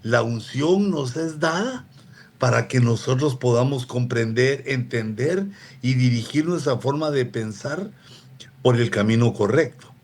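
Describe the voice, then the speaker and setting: A man's voice: mid-range voice, a little mellow. Man giving a rambling radio sermon. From Latin America. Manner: unhurried, digressive, with frequent asides to listeners. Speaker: apostle preaching on a radio program. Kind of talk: conversational sermon teaching.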